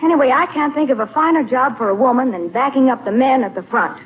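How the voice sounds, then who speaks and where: An actress playing a gracious, earnest young niece in a 1940s radio comedy, polite and gently firm. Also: female voice, high-pitched, fast, lightly acted. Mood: earnest, gentle. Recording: tinny, noisy 1940s radio recording.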